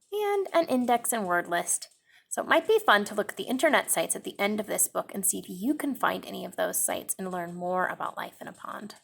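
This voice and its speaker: Slow, lively woman reading to kids. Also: mid-range voice, creaky. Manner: slow, expressive storytime reading with explanations. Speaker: teacher. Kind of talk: picture-book read-aloud for children. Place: classroom.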